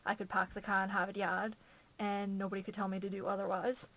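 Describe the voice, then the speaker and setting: A woman's voice: medium pitch, flat delivery, a little fast, a bit bright, lo-fi sound. A young woman from Massachusetts chatting casually on her home video blog, gushing and rambling with enthusiasm, recorded on a camera mic. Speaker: vlogger.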